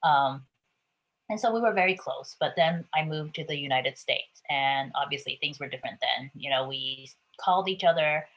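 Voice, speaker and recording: Low-voiced woman, dull video call sound. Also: normal speed, fairly bright tone. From Taiwan. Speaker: picture book author. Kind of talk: reading a picture book aloud, then casual talk.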